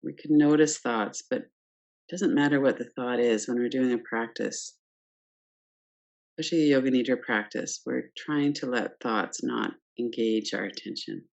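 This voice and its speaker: Low, creaky-voiced woman guiding meditation. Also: muffled recording. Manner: calm, gentle, pausing between short phrases. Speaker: yoga nidra meditation teacher. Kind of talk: guided meditation, unscripted instruction.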